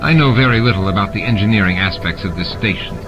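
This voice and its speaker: A male narrator plays a grim constable on a space station, an alien who trusts nobody. The voice sits low and speaks dryly and sternly, never giving ground. Music runs underneath the audiobook scene. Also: quick pace, muffled recording, natural voice. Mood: stern, suspicious. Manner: stern, dry. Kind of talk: dramatized audiobook reading. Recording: music and sound effects behind the voice.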